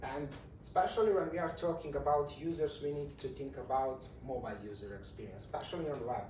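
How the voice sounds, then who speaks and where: A man's voice: medium pitch, fast talker, darkish tone. Croatian-accented man presenting in a roomy space. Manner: informal, repeats words, non-native phrasing. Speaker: conference speaker, tech company CEO. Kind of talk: conference presentation. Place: conference talk room. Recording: roomy, distant mic with faint noise.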